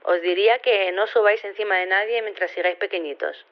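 An actress playing an apologetic, casual woman scientist with a halting, understated delivery over a telephone in an audio drama. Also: mid-range voice, fairly even intonation. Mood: sheepish. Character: normal-sized female scientist, apologetic, casual, sheepish. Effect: telephone filter. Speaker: phone-in caller. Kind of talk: Spanish audio fiction radio phone-in. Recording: slightly dull telephone sound.

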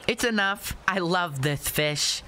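Radio play kid: eager young boy, high, bright, blunt and proud. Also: animated, slow pace, a little hiss or hum, exaggerated voice. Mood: excited, proud.

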